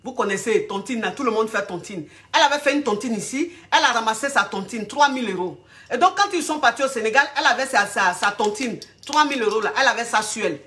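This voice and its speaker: A woman's voice: on the high side, animated, fast talker, sharp tone. A woman with a cold telling a story to her viewers in casual, repetitive style, often asking if they follow. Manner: repetitive, emphatic, addresses viewers directly. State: has a cold. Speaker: video host telling a story.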